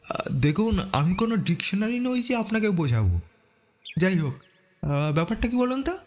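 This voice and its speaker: Man doing a high-pitched, brash police chief. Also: big pitch swings, moderate pace, a little mellow, faint music behind. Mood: sarcastic. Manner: mocking, needling, colloquial. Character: brash, sarcastic, impatient male police chief.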